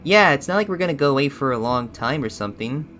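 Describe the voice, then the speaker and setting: A man's voice: medium pitch, expressive, quick pace, fairly bright tone, natural voice. A man voicing an eager, deferential cartoon shadow bear sidekick in hesitant, improvised banter. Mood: agreeable. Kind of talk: improvised character reaction banter.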